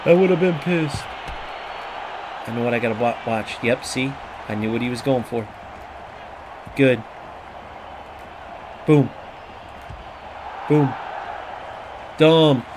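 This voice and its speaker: A man's voice: mid-range voice, slow pace, long pauses. A man streaming a football game, commentating live with profane, ranting trash-talk about his opponents. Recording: clear background noise.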